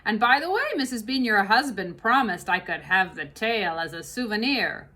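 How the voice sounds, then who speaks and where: A woman's voice: mid-range voice, expressive, moderate pace, pushed and bright. A woman voicing a big, eager, slightly dim female servant in a storybook reading.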